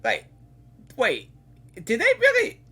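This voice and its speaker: A woman's voice: a little high, wildly swooping pitch, takes it slowly, pushed and bright, slightly rough. A woman giving spontaneous reaction commentary on a game, exclaiming and repeating her words. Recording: game music behind the voice.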